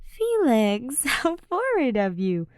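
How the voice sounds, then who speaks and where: A woman plays a sweet teenage boy from an audiobook story, his voice lifted high and close in a dry space. He is gentle and soft-hearted, speaking with eager, open expression. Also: wildly swooping pitch, takes it slowly, no room sound, exaggerated voice. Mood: tipsy, giggly. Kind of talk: fan fiction audiobook character voice.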